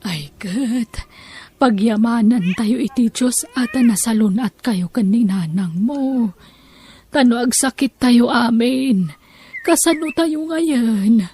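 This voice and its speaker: A woman playing a caring, gently joking grandmother and wife in an Ilocano audio drama, tender and plaintive, with an Ilocano Filipino accent. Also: on the high side, slow, husky. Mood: tender, concerned. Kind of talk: Ilocano radio-style audio drama acting.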